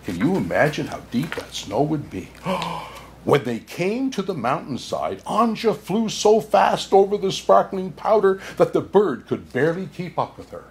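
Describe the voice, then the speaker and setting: A man playing a jolly, kindly old Santa Claus, excitable and chuckling, reading a children's storybook aloud with "oh my" exclamations. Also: on the high side, normal speed, a little hiss or hum, pitched above the performer's own voice. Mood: gently narrating. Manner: hearty chuckles and frequent "oh my" exclamations. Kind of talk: children's storybook read-aloud with asides to listeners.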